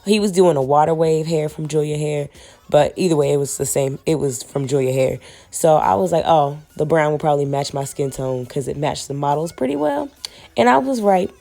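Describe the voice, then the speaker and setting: A young woman, hoarse from a cold, chatting casually to viewers as she walks through a wig install. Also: deep voice, a little fast, dark tone. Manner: casual and chatty, slangy, addresses viewers as y'all. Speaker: beauty vlogger. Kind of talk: casual wig tutorial chat.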